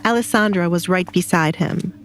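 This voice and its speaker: A woman's voice: on the low side, normal speed, faint music behind. A woman reading scripted narration of a romance story as an audiobook narrator.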